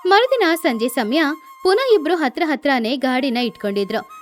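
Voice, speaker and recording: A woman's voice: high-pitched, big pitch swings, normal speed, faint music behind. A woman narrating a scripted children's story as a storyteller.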